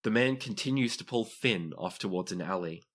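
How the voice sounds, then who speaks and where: A man's voice: fairly low pitch, expressive, moderate pace, a bit bright. A man narrating a tabletop roleplaying game as game master, describing scenes and explaining rules to players.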